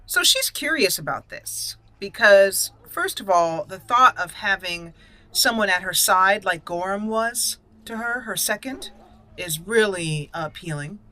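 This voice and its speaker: A woman's voice: mid-range voice, expressive, unhurried, pushed and bright. A woman hosting a roleplay let's play, commenting on the game and her character. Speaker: gaming video host, roleplayer.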